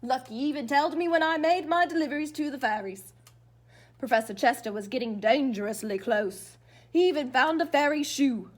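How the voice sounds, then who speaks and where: A woman's voice: high voice, normal speed. A woman reading a storybook aloud as a teacher to children, expressively and dramatically, coaxing them with questions.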